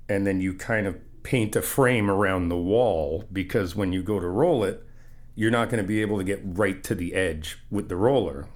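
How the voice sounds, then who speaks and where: A man's voice: on the low side, close to an everyday voice. A man playing an easygoing, encouraging friend in a casual ASMR roleplay, chatting informally and explaining things patiently. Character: easygoing, encouraging male friend helping paint an apartment.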